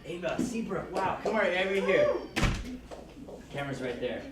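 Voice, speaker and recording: A man's voice: sits high, animated, normal speed. Enthusiastic man interviewing in an echoey hall. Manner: enthusiastic, showman-like, calling people over loudly. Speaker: interviewer at a kids' film camp. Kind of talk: upbeat on-camera interviews. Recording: echoey room, far from mic, noisy.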